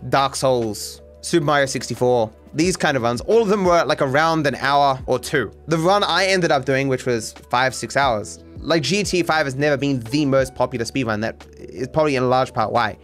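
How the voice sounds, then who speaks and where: A man's voice: mid-range voice, moderate pace, a bit bright, faint music behind. A man in his thirties streaming from home on a desk mic, casually reacting to viewer takes in an opinionated, rambling way and swearing freely. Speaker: speedrunning streamer. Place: home streaming room, indoors.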